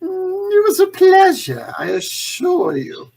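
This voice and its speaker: Suave gang boss villain, 1940s radio drama, slow drawl, swooping pitch, husky, pompous, mock-courteous. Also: male voice, huge pitch swings, darkish tone, exaggerated voice. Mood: mocking, menacing. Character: suave, theatrical, gloating male escaped-convict villain and gang boss.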